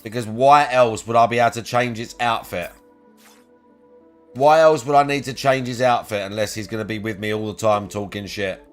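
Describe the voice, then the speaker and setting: Young guy narrating a game, snarky and sweary, mid-pitched, faint music behind. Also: moderate pace. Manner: sarcastic, profane, chatty. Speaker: gaming video host. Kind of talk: casual let's play commentary.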